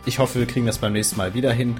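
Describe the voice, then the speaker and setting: A man hosting a casual tech podcast, talking in a relaxed, chatty way with frequent filler sounds. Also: mid-range voice, rapid-fire, faint music behind. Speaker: podcast host.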